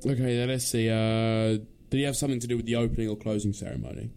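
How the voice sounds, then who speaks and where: A man's voice: on the low side, quick pace, a little mellow, clear, resonant tone. A man hosting a casual student radio chat, cheerfully quizzing his co-host with questions. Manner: chatty, playful questioning.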